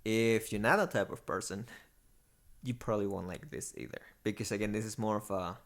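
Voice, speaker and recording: A man with a Mexican accent chats casually on a podcast about movies. His voice has a gravelly edge, and he speaks haltingly. He pokes fun at himself and often stops to look for the right word. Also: fairly low pitch. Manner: self-deprecating, halting and searching for words. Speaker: podcast co-host. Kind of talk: casual chat.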